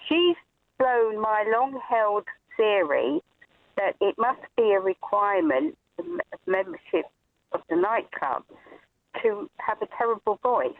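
A woman's voice: mid-range voice, sing-song, unhurried, no room sound. A woman calling in to a radio show, chatting in a hesitant, amused way. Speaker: caller. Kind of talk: casual phone chat. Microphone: phone. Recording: tinny dry phone line.

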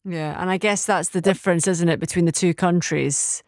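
A woman hosting a radio phone-in, asking unhurried, musing questions with fillers. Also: fairly low pitch, steady tone, a little mellow, no echo, studio quality. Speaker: radio host.

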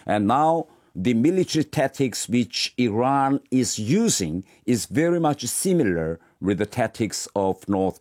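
A man from North Korea with a Korean accent, answering in a news interview in measured speech with many pauses. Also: on the low side, darkish tone, no room sound, studio quality. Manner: measured, frequent "uh" pauses, halting phrasing. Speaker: interviewed politician and former diplomat.

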